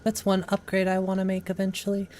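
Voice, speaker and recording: Brief: woman streamer, casual wry gameplay chatter, soft mellow tone, flat delivery. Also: medium pitch, quick pace, a little hiss or hum. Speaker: game streamer. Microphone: XLR microphone.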